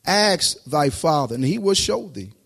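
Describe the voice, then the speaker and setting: A man preaching a sermon, reading scripture slowly before preaching with rising intensity. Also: sing-song, vocal fry, breathy edge. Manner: reads scripture slowly, then preaches rising in pitch and loudness.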